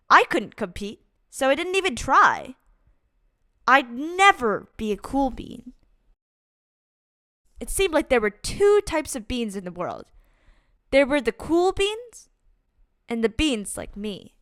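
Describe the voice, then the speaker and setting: A woman reading a children's picture book aloud as a storyteller, in an expressive, deliberate and dramatic way. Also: fairly high pitch, no echo, clean studio sound. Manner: deliberate, dramatic delivery with acted-out exclamations. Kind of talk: expressive read-aloud storytime narration for kids.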